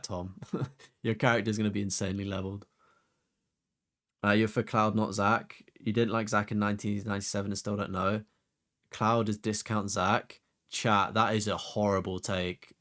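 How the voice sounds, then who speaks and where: A man's voice: lowish voice, fairly bright tone. A young man chatting casually on a game live stream, rambling with fillers and casual swearing.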